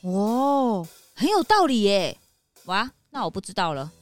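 A woman voicing an earnest, excitable young detective sidekick in a children's audio drama. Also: medium pitch, measured pace, soft background music. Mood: excited.

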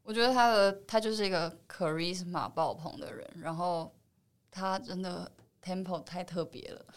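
A woman speaks Taiwanese Mandarin in a slightly low register. She is an actress being interviewed, explaining her thoughts reflectively and sprinkling in the odd English word. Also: moderate pace. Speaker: film actress, interview guest.

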